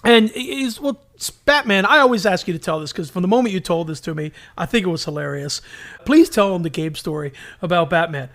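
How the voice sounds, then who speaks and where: A man's voice: high-pitched, lively intonation, a little fast, a bit bright. A man co-hosting a podcast in casual, joking banter, slipping into little mock quotes as he talks. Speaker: podcast co-host. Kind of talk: casual nostalgic banter about superhero TV.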